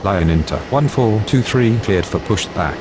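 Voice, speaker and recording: A low male voice , acted for an air traffic game, calm and procedural. He delivers clipped aviation radio phrases over a noticeable bed of ambient noise. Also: normal speed, close to an everyday voice.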